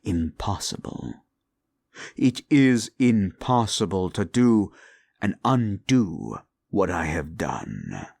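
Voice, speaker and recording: A man voicing a gravelly, scornful demon. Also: a little mellow, dry recording, full character voice. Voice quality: gravelly, low, menacing. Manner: slow, contemptuous, dropping to whispers. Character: scornful male demon, cruel and unrepentant. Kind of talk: audio roleplay acting.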